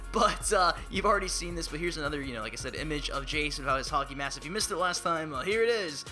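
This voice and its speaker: A young man talks over gameplay with excitable, hype-driven energy, a little raspy from a voice still on the mend. His voice sits slightly high and rings bright and forceful. Light music plays behind him. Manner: excitable, hype-driven, laughs and blurts out reactions. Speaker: gaming YouTuber. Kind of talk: casual gaming commentary.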